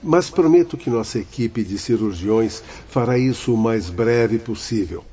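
A man playing an authoritative, caring spirit doctor in a radio drama, speaking formally and deliberately. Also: mid-range voice, expressive, warm tone, slightly rough. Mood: grave, reassuring. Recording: dull radio-play recording, faint background ambience.

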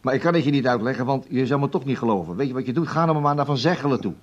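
Bewildered radio drama businessman, played by an actor: husky, animated, stammering, slightly muffled recording. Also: mid-range voice, rapid-fire, natural voice. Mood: agitated, bewildered. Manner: stammering, repetitive, excitable. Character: flustered, bewildered businessman recounting strange events. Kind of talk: Dutch radio play acting. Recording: dull radio recording, music behind.

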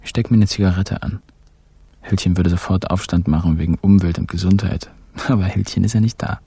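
A man's voice: deep voice, steady tone, brisk, creaky, warm tone, husky, dry recording, exaggerated voice. An actor playing a wry, irreverent man who tells a crime story in colloquial present tense for a radio play. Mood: relaxed. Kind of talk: colloquial first-person radio drama narration in present tense.

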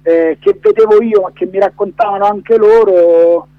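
A man's voice: sits high, steady tone, normal speed, dry recording. A man being interviewed on the radio, talking in a hesitant, discursive way full of hedges. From Rome area, Italy. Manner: hesitant, discursive, many hedging fillers. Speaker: documentary director, interview guest. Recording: dull lo-fi phone-in sound.

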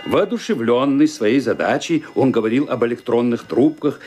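An actor narrating a detective audio drama as a wry storyteller, in dramatized scripted narration. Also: male voice, medium pitch, moderate pace, darkish tone, breathy edge, faint music behind, close to an everyday voice.

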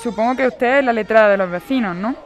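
A woman's voice: a little high, quick pace, close to an everyday voice. An actress playing a polite but firm female court official in an audio drama. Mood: formal, firm. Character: brisk, formal court official overseeing an eviction.

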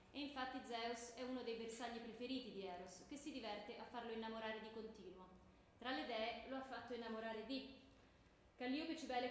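An actress playing a stern, pedantic schoolteacher who lectures and quizzes pupils in comic radio theatre. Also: female voice, fairly high pitch, close to an everyday voice. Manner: pedantic, curt, reciting lists. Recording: echoey room, clearly noisy.

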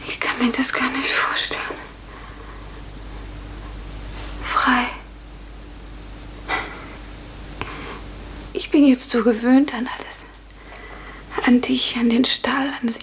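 Timid sad serf girl, highish voice, earnest and halting, roomy old radio drama with light hiss. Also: level tone, a bit bright. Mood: dazed, quiet. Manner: earnest, hesitant, plaintive. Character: fragile, fearful Russian serf woman, former dancer, earnest. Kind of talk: 1950s German radio play acting. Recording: echoey, hissy 1950s radio recording.

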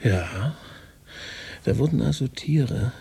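A man acting a shaken radio journalist in a naturalistic radio play, speaking in a grave, deliberate, sardonic way. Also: mid-range voice, lively intonation, creaky, warm tone, breathy edge, full character voice. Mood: grim, agitated. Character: shaken male radio journalist, bitterly recounting grim findings. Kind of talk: naturalistic radio drama dialogue.

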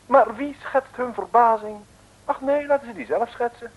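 A man's voice: extremely high pitch, moderate pace, dull audio. A man narrating an old radio serial in a playful, theatrical, mock-heroic scripted style. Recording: old radio recording, faint noise.

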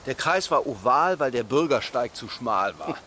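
A man playing a brooding, intuitive police detective in a radio crime play, explaining deliberately and insistently. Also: mid-range voice, animated, pushed and bright, a little hiss or hum. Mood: explanatory, brooding. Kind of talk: naturalistic radio drama dialogue.

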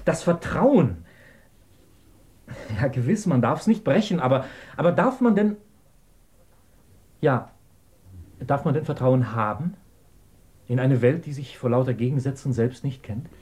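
An actor in a German radio play playing a troubled, doubting man who speaks urgently and haltingly. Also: mid-range voice, animated, a little mellow, lightly acted. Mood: agitated, doubting. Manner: halting, urgent, repeating himself.

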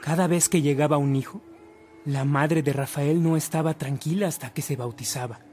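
A man's voice: a little high, steady tone, moderate pace, a little mellow, slight background noise. A man narrating a radio drama from a script, as a storyteller. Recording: background music under the voice.

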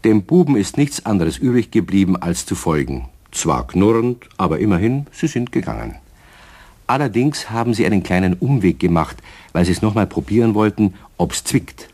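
An actor as the storyteller of a children's radio play, narrating in a husky voice with a southern German accent. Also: male voice, fairly low pitch, expressive, moderate pace, darkish tone. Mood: storytelling.

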